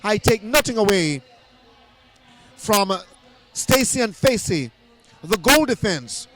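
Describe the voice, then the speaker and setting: Guy commentating netball, voice going hoarse, pretty high pitch, keeps restarting his sentences. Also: takes it slowly. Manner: excited, restarts phrases often. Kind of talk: live sports commentary. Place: indoor sports arena.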